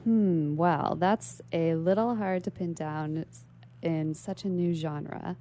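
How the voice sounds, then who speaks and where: A woman's voice: fairly low pitch, fairly even intonation, slow, warm tone, slight background noise. A young woman as an interview guest, giving articulate, bookish explanations in long, carefully formed sentences. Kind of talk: prepared explanation in a radio interview. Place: radio station studio.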